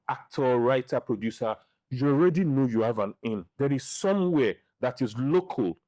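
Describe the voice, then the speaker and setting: A middle-aged man with a Nigerian accent giving opinions in a podcast interview, speaking deliberately and repeating phrases for emphasis. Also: medium pitch, warm tone, no room sound. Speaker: interview guest, film director and writer.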